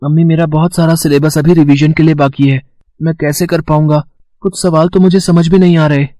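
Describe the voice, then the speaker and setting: A man's voice: on the high side, level tone, brisk, dark tone, no echo, lightly acted. A man voicing an earnest, easily hurt schoolboy son in a children's moral story. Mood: worried.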